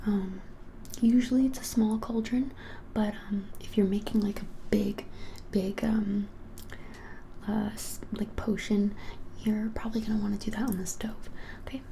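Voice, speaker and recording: A woman in her twenties whispering a casual ASMR shopkeeper roleplay in a breathy, halting, helpful way. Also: medium pitch, fairly even intonation, slight background noise. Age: 20s. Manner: soft, hesitant, halting, helpful explaining. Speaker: ASMR creator playing a witch shop keeper. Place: small plain indoor room.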